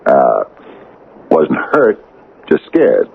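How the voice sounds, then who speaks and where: Folksy small-town mayor, gloomy and halting, gravelly with big swings in pitch, vintage lo-fi radio play. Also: male voice, wildly swooping pitch, heavily acted. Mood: folksy, matter-of-fact. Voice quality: deep, gravelly voice. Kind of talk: radio drama acting. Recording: narrow, lo-fi old radio broadcast.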